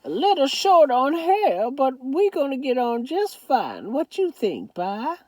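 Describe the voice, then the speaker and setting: Bossy, booming old Southern grandmother, very high, gushing, tearful,, dry close sound. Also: very animated, a little slow, no room sound, heavily acted, rough recording. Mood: doting. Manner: shouting, weepy, domineering.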